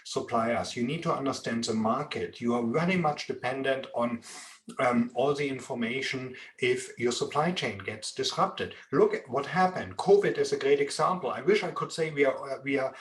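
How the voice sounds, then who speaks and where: German-accented man, roomy sound. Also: medium pitch. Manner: measured, explanatory, frequent ums. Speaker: interviewee, procurement expert. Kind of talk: expert interview. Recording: roomy echo.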